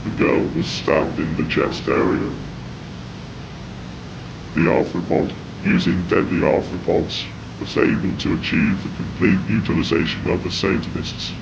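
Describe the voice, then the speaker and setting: A man reading scripted horror narration in a grave, measured way, with a gravelly, whispery voice. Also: steady tone. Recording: dull, noisy lo-fi audio with rumble.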